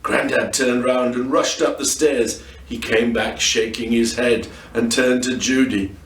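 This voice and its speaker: A man reading a children's story aloud in an expressive, dramatic manner, often letting the ends of phrases drop away. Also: medium pitch, unhurried, slight background noise. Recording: roomy echo, distant microphone.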